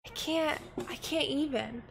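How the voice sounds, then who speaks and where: A young woman with a hoarse, nearly lost voice, chatting casually on a live stream in a small room at home. She rambles and giggles, with lots of fillers. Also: sits high, unhurried, a little hiss or hum. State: hoarse, voice mostly gone. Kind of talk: casual live-stream chat between songs. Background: her own ukulele strumming.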